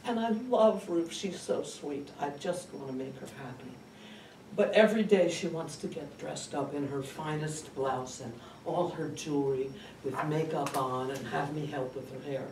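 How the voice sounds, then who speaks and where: A man puts on a devoted but exhausted caregiver in her sixties for a stage comedy. The voice is soft and slides up and down from a fairly high base. The room rings around it. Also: moderate pace, lightly acted. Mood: sweet, dutiful. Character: devoted, worn-out 68-year-old woman caring for her mother. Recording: distant, roomy, noisy live recording.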